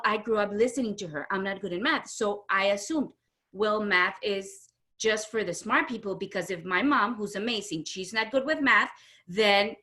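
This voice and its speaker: A woman's voice: medium pitch, pushed and bright. A middle-aged woman from Honduras speaking as an interview guest, telling stories conversationally and emphatically, acting out what people say. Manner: emphatic, acts out quoted speech. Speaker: interview guest, homeschooling mother. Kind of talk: animated conversational storytelling.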